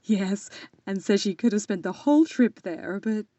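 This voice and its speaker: A woman playing a gentle young sister in a period audio drama. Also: medium pitch, lively intonation, normal speed, no echo, lo-fi sound, close to an everyday voice. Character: gentle young sister reading letters aloud.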